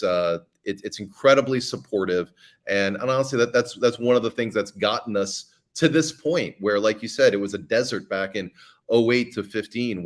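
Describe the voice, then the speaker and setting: A middle-aged man who creates fiction podcasts, talking earnestly as an interview guest and often restarting his sentences. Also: lowish voice. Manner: earnest, with frequent restarts and repeated words. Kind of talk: conversational interview answers.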